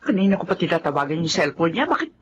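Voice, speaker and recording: A woman's voice: lowish voice, sing-song, rapid-fire, muffled recording, noisy background. An actress playing a fretful, bossy middle-aged mother in a Filipino melodrama, with a Filipino accent. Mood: agitated.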